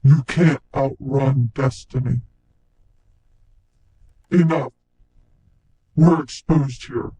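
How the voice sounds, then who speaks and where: A man's voice: steady tone, very slow, pauses often, warm tone, husky, no echo. A man voicing a grim, menacing cyborg game character in terse, ominous lines, processed with robotic voice effects. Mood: grim, threatening. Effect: robotic cyborg pitch and chorus effects.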